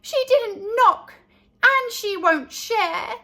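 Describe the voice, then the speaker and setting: Woman as a high, whiny, cheeky little girl. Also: expressive, very slow, pushed and bright, full character voice. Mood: whiny complaint. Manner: whiny, pleading, theatrical. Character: cheeky, whiny, dramatic three-year-old girl.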